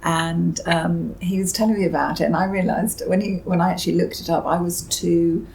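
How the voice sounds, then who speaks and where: A woman's voice: on the low side, steady tone, moderate pace, vocal fry, a little mellow, slight background noise, rough recording. A middle-aged or older British woman giving thoughtful, somewhat hesitant interview answers as a novelist.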